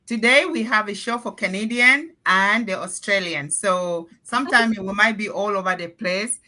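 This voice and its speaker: Bright, upbeat woman hosting a live show. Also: mid-range voice, normal speed. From Cameroon. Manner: upbeat, chatty, energetic. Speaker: host of a live panel show. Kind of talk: casual live-stream chat.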